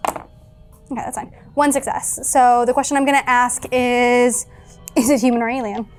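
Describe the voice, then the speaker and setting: A woman's voice: on the high side, steady tone, normal speed, faint music behind. A woman playing a tabletop role-playing game, talking in casual table chat.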